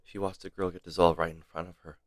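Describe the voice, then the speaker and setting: A man streaming a game, reading its text aloud dramatically with measured, theatrical pauses. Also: low voice, flat delivery, slight background noise.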